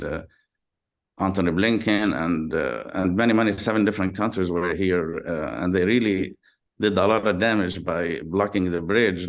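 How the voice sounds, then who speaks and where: A middle-aged man from Lebanon, speaking as an interview guest in a halting way with many pauses and repeated words. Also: sits low. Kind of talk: video call interview.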